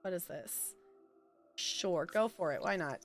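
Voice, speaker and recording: A woman's voice: lowish voice, expressive, takes it slowly, a bit bright, soft background music. A woman streaming a life simulation game with casual, rambling commentary, chatting to viewers and to the game's characters.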